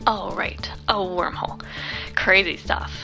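An actress playing a friendly, chatty young diner waitress in an audio drama, with an informal, chirpy manner. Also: female voice, medium pitch, steady tone, unhurried, vocal fry, sharp tone, soft background music. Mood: chatty recounting. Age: young adult.

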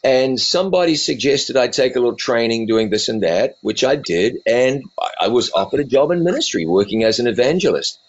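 A middle-aged man with a New Zealand accent softened by years in the US, telling his story in an animated, joking way. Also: medium pitch, brisk, hardly any pauses, highs cut off. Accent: New Zealand, softened by decades in the US. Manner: animated, self-deprecating, jokey. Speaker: evangelist and TV presenter. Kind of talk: storytelling interview answers.

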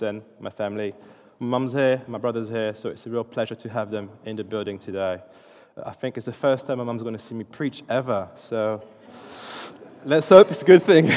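A man's voice: medium pitch, a little mellow. French-born man preaching in an echoing hall. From France. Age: 30s. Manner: informal and chatty, with many restarts and fillers. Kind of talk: sermon. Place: church hall.

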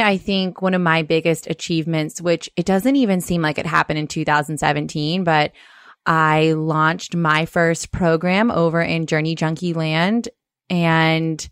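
A woman's voice: sits low, clean tone, dry recording, clean studio sound. A woman co-hosting a podcast, speaking earnestly and frankly, with some emphasis and occasional swearing. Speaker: podcast co-host. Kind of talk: casual podcast chat.

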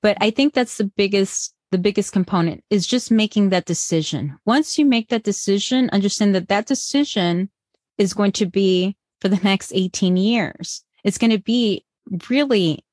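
A woman's voice: medium pitch, moderate pace, darkish tone, dry recording. Earnest woman with a dry close sound. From Colombia. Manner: earnest, encouraging, with frequent restarts. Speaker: guest, bilingual parenting educator. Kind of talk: podcast interview answers.

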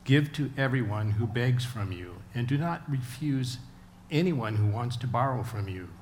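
A man reads scripture aloud at an ordinary middle pitch. The recording carries faint ambient noise behind his voice. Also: lively intonation, normal speed, a little mellow. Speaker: scripture reader. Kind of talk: read-aloud Bible passage.

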